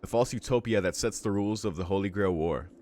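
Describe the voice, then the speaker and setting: A man hosting a visual novel read-along, switching between narrating the text aloud and casual, halting reaction commentary. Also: on the low side, a little mellow, a little hiss or hum. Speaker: let's-play host reading a visual novel aloud and reacting.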